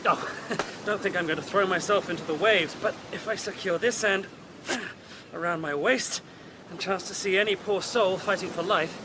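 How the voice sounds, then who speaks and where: An actor playing a brave, confident gentleman in a period audio drama, spirited and well-mannered. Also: sits high, normal speed, noisy background, close to an everyday voice. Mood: urgent, brave. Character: brave, confident young gentleman with seafaring experience.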